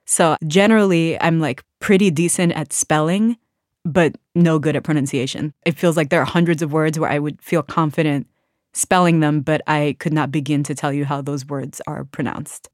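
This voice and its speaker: Poet woman, low register, relaxed reflective chat, fillers here and there, dead-dry booth sound. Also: normal speed, no echo. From Washington, D.C., United States. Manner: thoughtful, casual, frequent "like" fillers. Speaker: author and poet. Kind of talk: reflective podcast interview talk.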